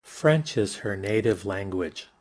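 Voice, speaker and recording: A man reading English example sentences for a lesson, each one said twice in an even, deliberate way. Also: on the low side.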